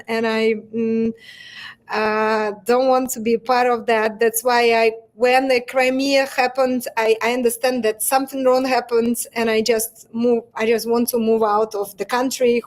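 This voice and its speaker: A young woman with a Russian accent, speaking Russian as her first language, talks in a candid interview as a founder, often restarting her sentences and laughing between phrases. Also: on the high side. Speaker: guest, bodysuit brand founder.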